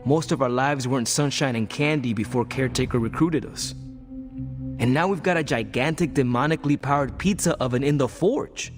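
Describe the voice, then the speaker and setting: An actor voicing a streetwise, reassuring young American antihero in a video game, talking casually and frankly, like a loyal friend. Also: male voice, medium pitch, a little fast, soft background music, lightly acted.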